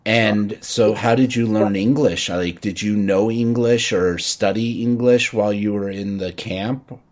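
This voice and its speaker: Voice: mid-range voice, steady tone, a little slow, darkish tone. A podcast host conducting an interview, curious and asking long, searching questions. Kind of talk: interview conversation.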